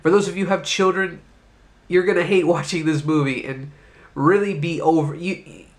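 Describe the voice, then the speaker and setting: A man's voice: on the high side, steady tone, normal speed. A man hosting his own movie review video, speaking with a congested, stuffed-up voice from being sick and coughing now and then, in a loose, rambling way that includes casual swearing.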